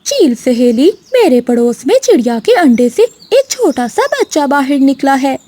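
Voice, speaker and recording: A woman's voice: high voice, very animated, measured pace, mellow. A woman voicing a spiteful, scheming female crow neighbour in a cartoon, scolding and conniving.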